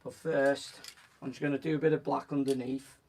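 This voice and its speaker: A man with a croaky voice casually explaining each step of a painting as he works. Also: medium pitch, fairly quick. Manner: relaxed, talks while working, frequent "so" and "just gonna". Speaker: painting instructor on a live stream. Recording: voice from a distance from the mic, faint background noise.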